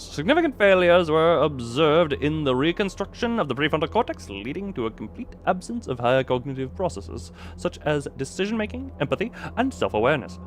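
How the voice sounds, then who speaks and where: A man chatting casually on a gaming livestream and reading game notes aloud, rambling, easily sidetracked and stumbling over words. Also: a little high. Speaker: live streamer.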